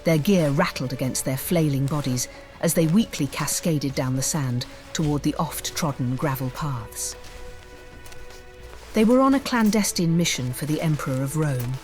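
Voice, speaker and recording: A woman reading scripted fantasy audiobook narration in a measured, descriptive storytelling manner. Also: sits low, animated, a little mellow, faint music behind.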